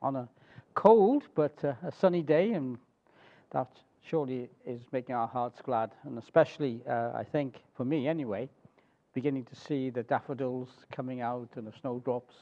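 A middle-aged man with a Welsh accent speaking as a preacher, telling a story to a congregation in a halting, conversational way with little check-in questions. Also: mid-range voice, dull audio. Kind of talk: informal sermon telling a missionary biography to a congregation.

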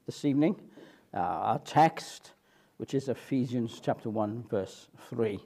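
Mellow-voiced preacher man, halting delivery. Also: mid-range voice. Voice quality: halting, frequent "uh" fillers and restarts, mellow voice. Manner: earnest, explanatory, reads scripture slowly. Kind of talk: evening sermon, expository Bible teaching.

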